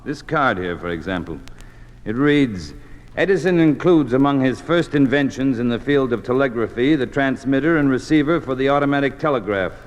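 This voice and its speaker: A man's voice: mid-range voice, animated, normal speed, highs cut off, natural voice. A doctrinaire, officious male Communist bureaucrat played by a radio actor, pompous and commanding. His delivery is clipped and coldly superior, in the manner of old radio drama. The recording rings with long, hall-like reverb. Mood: stern, lecturing.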